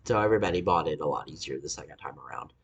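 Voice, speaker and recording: A man in his forties telling a personal story to camera, halting with fillers and angry swearing. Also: extremely low pitch, expressive, creaky, slightly rough, dull audio. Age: 40s. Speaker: vlogger. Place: indoors, dim room.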